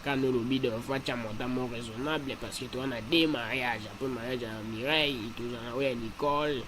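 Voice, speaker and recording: A man's voice: medium pitch, fairly even intonation, brisk, a crowd in the background, lightly acted. A man with a Congolese accent playing a rambling cartoon character who repeats slangy interjections. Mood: protesting. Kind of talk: animated cartoon dialogue in Lingala and French.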